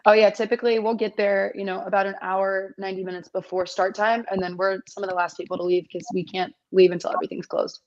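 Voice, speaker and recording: Raspy upbeat young woman on a video call. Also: medium pitch, flat delivery, fast, few pauses, lo-fi sound, a little hiss or hum. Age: 20s. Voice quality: slightly raspy. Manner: upbeat, chatty, with playful voiced asides. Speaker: competition MC, guest. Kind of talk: casual interview on a video call. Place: small indoor room.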